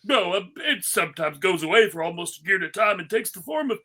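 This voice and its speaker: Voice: mid-range voice, expressive, quick pace, sharp tone. A performer voicing a jolly, kindly, commanding old man in a hearty, emphatic cartoon table read. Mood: reassuring.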